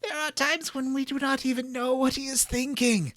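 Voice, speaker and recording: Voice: high-pitched, steady tone, normal speed, fairly bright tone, no echo, pitched above the performer's own voice. A podcast host voicing solemn, grandiose godlike elders from a game, theatrical and pompous. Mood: grave, questioning. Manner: theatrical, pompous, breaking into laughter.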